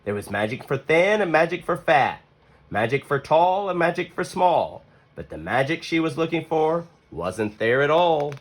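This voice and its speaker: A man reading a children's story aloud as an expressive narrator, with deliberate pauses between phrases. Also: on the high side. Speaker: storybook reader.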